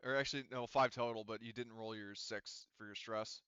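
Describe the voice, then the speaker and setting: A man runs a tabletop game in a smooth, clear voice at an average pitch. He is informal and sweary, talking casually through rules and story. The sound is dry and a little muffled. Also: fairly even intonation, brisk, fairly bright tone, no room sound. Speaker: game master.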